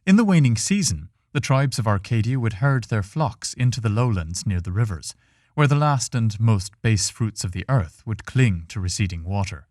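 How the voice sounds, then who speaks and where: A man narrating a scripted fiction story in a measured, solemn and dramatic way. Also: fairly low pitch, animated, a little mellow, dry recording, studio quality. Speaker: narrator reading a fiction podcast.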